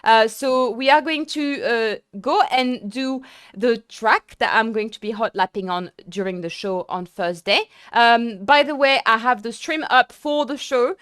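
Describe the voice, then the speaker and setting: Chatty, excitable young woman streamer. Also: a little high, a little slow, clear, resonant tone, dry recording, studio quality. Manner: chatty, excitable, lots of fillers and restarts. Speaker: sim racing streamer. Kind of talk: casual live-stream chat.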